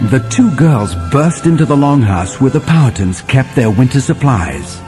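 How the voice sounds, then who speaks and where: A man as an animated settler storyteller. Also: mid-range voice, soft background music, close to an everyday voice. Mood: storytelling. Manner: animated, vivid storytelling with dramatic pauses. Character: male settler storyteller recounting a winter adventure to John. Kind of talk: dramatic children's story narration.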